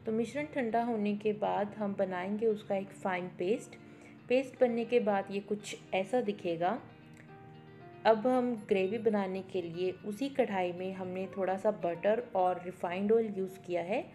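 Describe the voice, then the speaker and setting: A woman presents a cooking tutorial as a voice-over, with a medium-pitched voice. She explains each step in an instructional way and drops in English words, with faint music playing behind her. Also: a little slow, mellow. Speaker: cooking show host.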